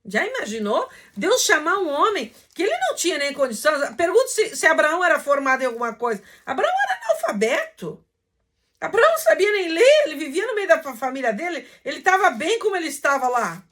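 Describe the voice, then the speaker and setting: A Brazilian woman with a high-pitched, very lively voice preaches in an informal, teacherly way. She reads scripture with deliberate weight and explains it in conversational, emphatic phrases, her intonation rising and falling widely. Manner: emphatic, didactic, slow when reading verses aloud, conversational asides. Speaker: preacher teaching a Bible lesson. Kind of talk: informal sermon with scripture reading.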